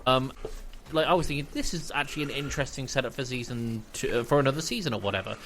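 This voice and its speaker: A man chatting casually as a podcast host, retelling and reviewing in an animated way. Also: medium pitch, fast talker, a little hiss or hum.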